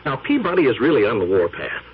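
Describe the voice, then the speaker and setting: A husky, wisecracking photographer. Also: male voice, mid-range voice, big pitch swings, heavily acted. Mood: mocking. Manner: fast-talking slang, joking. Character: wisecracking, brash photographer buddy. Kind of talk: 1970s radio drama acting. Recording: dull old radio broadcast.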